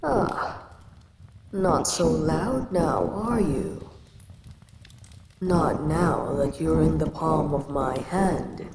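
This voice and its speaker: A woman's voice: deep voice, animated, extremely slow, long pauses, a loud crowd, full character voice. A woman voicing an ancient giant female kaiju queen who speaks down to a tiny human she calls a pest. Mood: haughty. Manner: condescending, calls the listener pest. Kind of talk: audio roleplay acting. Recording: echoey reverb with ambient noise.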